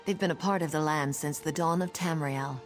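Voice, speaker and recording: A woman's voice: low voice, normal speed, faint music behind, lightly acted. A woman playing an earnest, solemn and worried nature priestess in a fantasy video game. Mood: worried, urgent.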